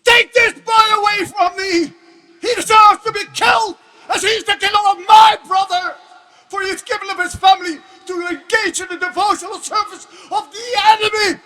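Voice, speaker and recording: A man's voice: very high-pitched, fairly even intonation, moderate pace, shouting, a crowd in the background. An actor playing a raging demon king and father, bellowing and menacing in a theatrical dramatic reading. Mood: furious. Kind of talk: dramatic stage reading of scripture.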